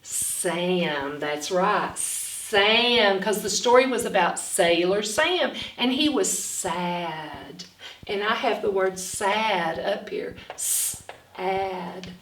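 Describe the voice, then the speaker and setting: A woman reading and teaching phonics to young children in an expressive, instructional way, sounding out words. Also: mid-range voice, very slow. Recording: roomy echo, distant from mic.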